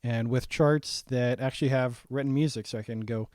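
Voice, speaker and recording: Young man thinking aloud, raspy, halting. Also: mid-range voice, steady tone, a little mellow, no room sound, clean studio sound. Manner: halting, many ums and restarts, thinking aloud. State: voice getting raspy. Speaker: saxophonist walking through his own multitrack arrangement. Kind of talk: casual screen-share breakdown.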